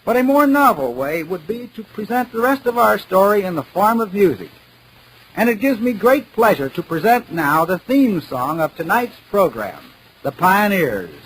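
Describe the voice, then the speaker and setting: A man's voice: high voice, sing-song, normal speed, a bit bright, slight background noise, rough recording. A man playing a pompous, mock-serious radio announcer giving an oratorical comedy speech in 1940s style on an old 78rpm record. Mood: mock-solemn.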